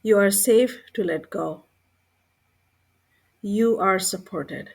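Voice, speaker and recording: A woman narrating a scripted guided meditation in a calm, gentle way, with unhurried short phrases and pauses between them. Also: mid-range voice, creaky, husky.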